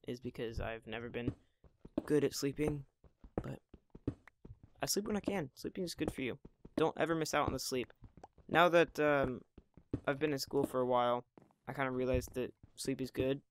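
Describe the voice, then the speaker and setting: A hoarse teenage boy in his mid-teens, with occasional voice cracks, chatting casually while playing a building game at home. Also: medium pitch, a little hiss or hum. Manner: rambling, chatty, self-deprecating. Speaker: Minecraft gameplay channel host. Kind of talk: casual gameplay commentary.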